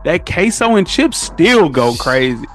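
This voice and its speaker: A man with a fairly high voice and a Southern US accent chats on a podcast. He is animated and slangy, jumping in with quick interjections. Faint music plays behind him. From Nashville, USA. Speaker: podcast guest. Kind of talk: casual chat.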